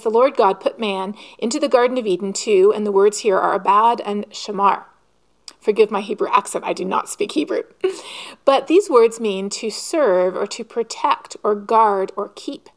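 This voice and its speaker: A woman preaching a sermon in an earnest, measured way, posing rhetorical questions. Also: medium pitch.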